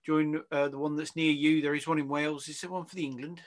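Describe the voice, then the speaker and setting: A man's voice: a little high, little pitch movement, fast talker. A man hosting a casual interview over a video call, rambling, affirming and teasing as he goes. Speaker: interview host.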